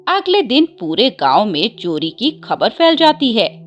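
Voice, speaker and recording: A woman's voice: fairly high pitch, big pitch swings, measured pace, muffled recording, faint music behind. A woman narrating a scripted devotional story as a storyteller.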